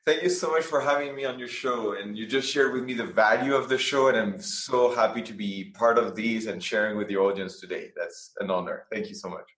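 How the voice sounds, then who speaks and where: A man's voice: on the low side, fairly quick, hardly any pauses, fairly bright tone. Guest, man, earnest and searching for words, echoey room. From France. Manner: earnest, restarts phrases often. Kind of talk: conversational interview.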